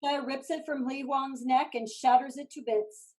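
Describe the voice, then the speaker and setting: A woman narrates the action of a staged play reading. Her voice sits slightly high as she reads scripted stage directions. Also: steady tone, moderate pace, very noisy.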